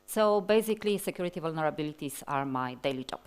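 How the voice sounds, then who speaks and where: A woman in her forties with a Polish accent, giving a technical conference talk from a large hall stage, speaking slowly and deliberately with many fillers and restarts. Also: deep voice, lively intonation, clear, resonant tone.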